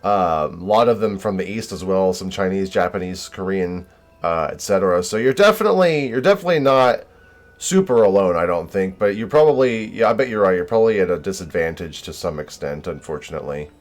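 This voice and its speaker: A man's voice: low-pitched, lively intonation, a little fast. A young man streaming a game, chatting casually with viewers in a modest, hesitant way full of fillers.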